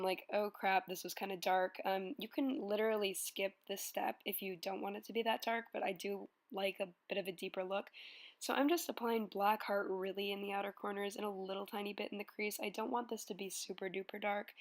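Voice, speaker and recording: A young woman with a slightly hoarse voice from a cold, chatting her way through a makeup tutorial voice-over with plenty of ums. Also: mid-range voice. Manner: chatty, relaxed, many ums. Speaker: beauty vlogger.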